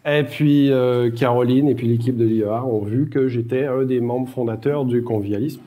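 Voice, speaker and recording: A man's voice: mid-range voice, steady tone, moderate pace, warm tone. A middle-aged man with a Québécois accent, giving a casual, self-deprecating public lecture with many fillers. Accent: Québécois French. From Quebec, Canada. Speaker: guest lecturer.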